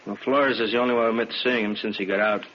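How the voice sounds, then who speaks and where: A man's voice: on the low side, fairly even intonation, quick pace, close to an everyday voice. A male actor playing a matter-of-fact homicide captain, giving clipped, factual reports in a vintage radio drama. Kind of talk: 1950s radio police drama. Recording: muffled, faintly noisy old radio recording.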